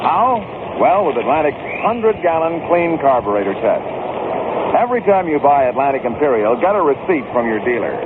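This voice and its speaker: A male commercial announcer with an American accent delivers scripted copy in 1960s radio style. His voice is a little high for a man. It sounds narrow and tinny, like an old broadcast buried in heavy noise. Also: very animated, fairly quick. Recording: noisy band-limited old AM radio broadcast.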